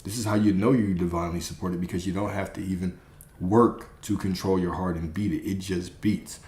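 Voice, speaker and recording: A man's voice: deep voice, steady tone, fairly quick, darkish tone, reverberant. A man hosting a live stream, giving an emphatic spiritual pep talk and repeating his key phrases.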